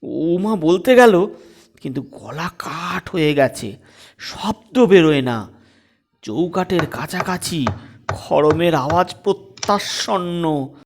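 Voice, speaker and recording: Male storyteller for comic fiction audio: dramatic, unhurried reading with lively melodic swings. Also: on the high side.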